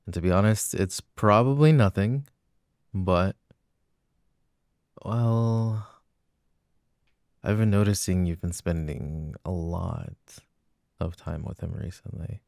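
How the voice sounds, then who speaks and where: A man's voice: deep voice, takes it slowly, gravelly, pauses often, a little mellow, no echo, exaggerated voice. A man playing a loving but jealous boyfriend in an intimate, hesitant roleplay ASMR performance on a close microphone. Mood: uneasy, careful. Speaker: voice actor.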